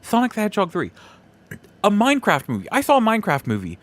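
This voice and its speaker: A man with a slightly high voice and very lively, swooping intonation. He talks casually as a solo podcast host, giving opinions with emphatic repeats and halting breaks between phrases.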